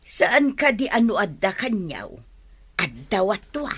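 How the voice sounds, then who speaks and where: A woman's voice: mid-range voice, big pitch swings, a little slow, a bit bright, breathy edge, full character voice. An actress playing a village woman in a radio comedy, full of questions for her neighbor. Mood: curious. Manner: inquisitive, questioning. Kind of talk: radio comedy drama acting.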